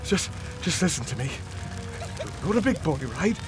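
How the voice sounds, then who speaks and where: Man acting a frantic father, pitched high. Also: big pitch swings, normal speed, music in the background. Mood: urgent, reassuring. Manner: frantic, stammering, pleading. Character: desperate loving father rescuing his children from fire. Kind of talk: audio drama acting.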